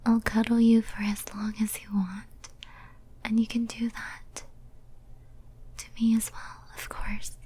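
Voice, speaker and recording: A woman's voice: on the high side, little pitch movement, exaggerated voice. A woman playing a lonely, devoted, possessive female demon in an ASMR roleplay, whispering tenderly and intimately. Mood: tender, yearning. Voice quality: soft breathy whisper. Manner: tender, clingy, intimate, slow and halting.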